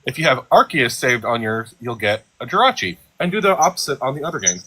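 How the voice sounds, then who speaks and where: A man chatting casually on a live stream, talking in an excitable, eager way. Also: medium pitch, fast talker, husky, poor audio.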